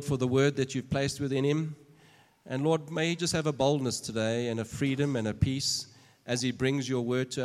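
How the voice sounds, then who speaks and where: An earnest pastor, a man with a voice of middling pitch, introduces a guest speaker and leads a fervent prayer. His words ring out with a long reverb through the church hall.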